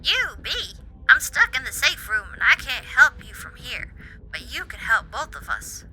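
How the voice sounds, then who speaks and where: A woman voicing a brash, exasperated mother and doctor in a game, loud and commanding. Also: high voice, sing-song, normal speed, heavily acted, rough recording. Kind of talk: amateur game character voice. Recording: music behind the voice.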